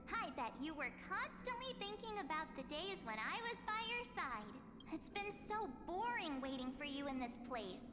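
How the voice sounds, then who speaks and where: A high bubbly young companion. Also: female voice, big pitch swings, moderate pace. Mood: excited. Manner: bubbly, chatty, upbeat. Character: bubbly young woman companion, chatty and secretly off. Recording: roomy game audio with reverb. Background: game music.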